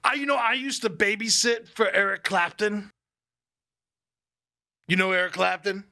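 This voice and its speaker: Man as burnout roadie, rambling absurd boasts; sharp, bright tone. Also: high-pitched, normal speed, full character voice. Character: spaced-out burnout male roadie storyteller. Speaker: podcast co-host. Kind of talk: improvised comedy bit.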